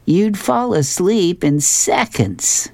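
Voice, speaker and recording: A woman's voice: lowish voice, sing-song, slow, gravelly, a little mellow, dry recording, pitched above the performer's own voice, exaggerated voice. A woman voicing a smug, contented husband from a comic strip. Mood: self-satisfied.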